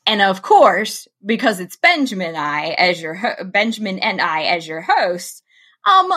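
A woman co-hosting a podcast in casual chat, bubbly and quick to laugh. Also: medium pitch, pushed and bright. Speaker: podcast co-host.

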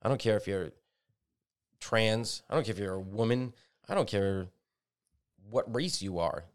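A man's voice: on the low side, measured pace, clean tone. A man co-hosting a podcast, agreeing often with short replies and swearing now and then in a casual opinion chat. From United States. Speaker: podcast co-host.

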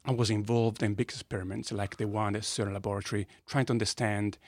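A man's voice: lowish voice, level tone, quick pace, no room sound. Italian man with a dry, close sound. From northern Italy. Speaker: guest physicist. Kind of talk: podcast interview, explaining science conversationally. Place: podcast studio.

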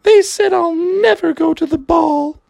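A woman putting on a weepy, shrill young princess. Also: measured pace, vocal fry, a little mellow, dry recording, heavily acted. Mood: woeful. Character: weepy high-voiced young princess, dramatic.

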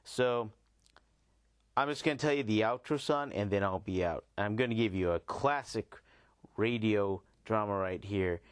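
A man's voice: fairly low pitch, moderate pace, clean tone, no room sound, studio quality. A man hosting a podcast, talking in a loose, informal, slangy way as he rambles casually. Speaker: podcast host.

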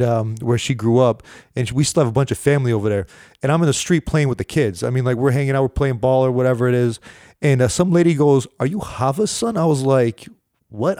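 A man's voice: mid-range voice, no echo, clean studio sound. A man in his thirties from the United States, telling stories as a podcast guest in casual chat, slangy and full of fillers. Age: 30s. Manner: animated storyteller, slangy, many fillers.

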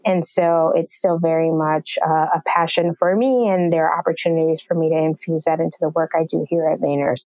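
A woman from New York, a marketing executive and podcast guest, answering in an articulate, measured way. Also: low-pitched, steady tone, a little mellow, dry recording, poor audio. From New York, USA. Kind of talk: interview answers.

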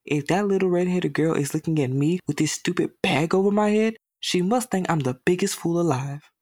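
A woman's voice: fairly low pitch, brisk, a little mellow, dry recording, studio quality, lightly acted. A woman performing a monologue as a lonely, self-doubting young boy, glum and self-deprecating. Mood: fretful, resigned. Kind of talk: dramatic monologue reading.

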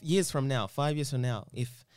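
A man's voice: mid-range voice, moderate pace, darkish tone, smooth, no room sound, faint music behind. A man from Uganda chatting casually as an interview guest, rambling and repeating himself with lots of "you know".

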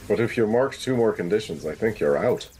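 Low-pitched man playing a tabletop game. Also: animated, brisk, darkish tone, breathy edge, faint music behind. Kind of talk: casual game chat.

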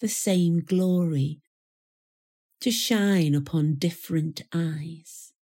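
A woman reciting a poem in a measured, solemn manner with deliberate pauses. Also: on the low side, dark tone. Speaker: poetry reader. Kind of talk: poetry recital.

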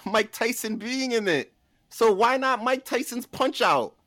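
A man talking casually and comedically as a podcast guest, pitching a list with an emphatic, playful showman delivery. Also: expressive, measured pace, fairly bright tone, dry recording, studio quality.

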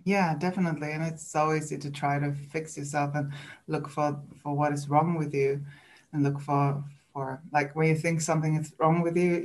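Swedish-accented woman coach, reflective. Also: low voice. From Sweden. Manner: reflective, halting, with restarts and light laughs. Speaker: guest, coach. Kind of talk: interview.

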